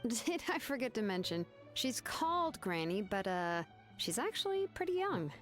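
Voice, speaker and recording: A woman's voice: on the high side, sing-song, unhurried, soft background music. A woman playing an easygoing young craftswoman in a game, candid and teasing, with a laid-back, wry manner. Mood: amused.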